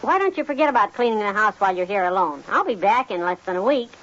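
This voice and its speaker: A woman's voice: medium pitch, lively intonation, fast talker, a bit bright, highs cut off, natural voice. Long-suffering, motherly American wife played by an actress, dry and chiding, 1950s radio comedy, noisy vintage sound. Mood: concerned.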